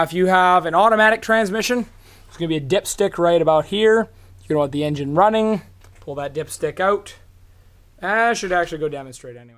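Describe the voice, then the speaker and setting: A hoarse man hosting a car how-to video, casually walking around his truck outdoors and explaining each step to the viewer while holding the camera in one hand. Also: fairly high pitch. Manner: relaxed, chatty, talking to the viewer while working. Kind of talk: casual hands-on demonstration. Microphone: camera held in one hand.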